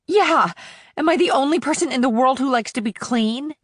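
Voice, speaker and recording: A woman's voice: high-pitched, expressive, moderate pace, no room sound, clean studio sound. A woman playing a sarcastic, guarded, streetwise teenage girl in audiobook dialogue, with a flippant manner.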